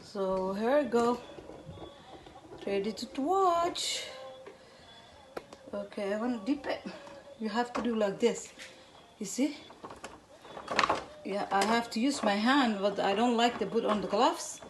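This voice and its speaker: A woman from Somalia with a Somali accent, hosting a casual home cooking demonstration and chatting in halting English mixed with Somali. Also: fairly high pitch, background noise. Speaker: cooking channel host.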